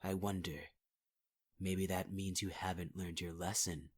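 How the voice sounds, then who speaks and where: A man's voice: low voice, level tone, unhurried. A man performing a menacing, taunting villain in an ASMR audio roleplay, often half-whispering. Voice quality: husky, half-whispered delivery.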